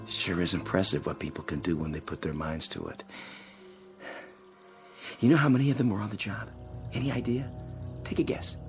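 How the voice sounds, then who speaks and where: A man's voice: sits low, steady tone, moderate pace, vocal fry, pauses often, faint music behind. An actor playing a cynical spaceship crewman with delusions of godhood, gloating and grandiose in a radio drama. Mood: smug, gloating. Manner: gloating, grandiose, mockingly theatrical.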